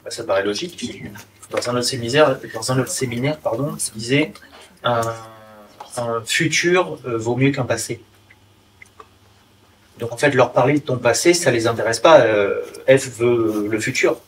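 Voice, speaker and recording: A man's voice: mid-range voice, unhurried. A man in the seminar audience making a hesitant comment and rephrasing as he goes. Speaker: seminar participant. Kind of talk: audience remark. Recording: distant room mic, faint noise.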